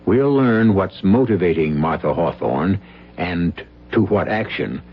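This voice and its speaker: A man narrating a scripted radio mystery as its host, in an ominous, deliberate, theatrical way. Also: low voice, animated, slight background noise. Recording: dull old radio broadcast, music behind.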